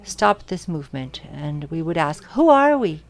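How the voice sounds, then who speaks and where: A woman interpreting audience questions live at an academic symposium. She speaks in short, halting phrases and often corrects herself mid-sentence. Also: low-pitched, big pitch swings. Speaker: simultaneous interpreter.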